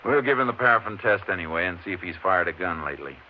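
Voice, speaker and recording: Stern Texas Ranger, very low voice, clipped and methodical, hissy vintage radio sound. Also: male voice, animated, fast talker. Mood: businesslike. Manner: terse, clipped, pressing questions. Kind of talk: 1950s radio drama acting and narration. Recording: muffled, hissy old radio broadcast.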